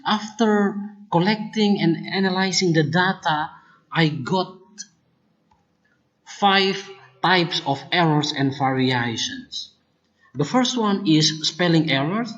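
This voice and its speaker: A speaker with an Indonesian accent whose first language is Kupang Malay, presenting research findings in a deliberate, explanatory way. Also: medium pitch, muffled recording. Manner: deliberate and explanatory, often starts points with "look" or "now". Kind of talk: academic presentation on language errors.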